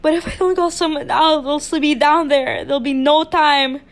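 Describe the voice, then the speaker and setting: High-pitched, hysterical invalid wife. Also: female voice, normal speed. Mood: frightened. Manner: imperious, pleading, breathlessly anxious. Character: wealthy, hysterical, demanding invalid wife, terrified and alone. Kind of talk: radio thriller acting.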